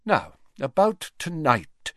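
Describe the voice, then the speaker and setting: Brief: old wizard headmaster read by a man, soft and calm, light conversational tone with quiet mumbles, storybook reading. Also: fairly high pitch, slow, no room sound, studio quality, voice pushed up, heavily acted. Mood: calm, probing. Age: older.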